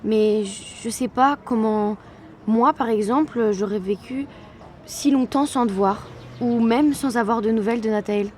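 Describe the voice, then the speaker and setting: A woman's voice: a little high, a little slow, a little mellow, slight background noise, natural voice. An actress playing a thoughtful young granddaughter in natural audio drama dialogue, with faint ambience around her. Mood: pensive.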